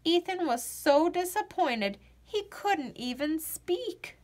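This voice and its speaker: A woman reading a children's picture book aloud in an expressive storytelling manner. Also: extremely high pitch, slow, slight background noise.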